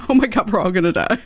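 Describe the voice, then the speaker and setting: A woman's voice: high voice, a bit bright. A woman game streamer bantering casually and reading game text aloud.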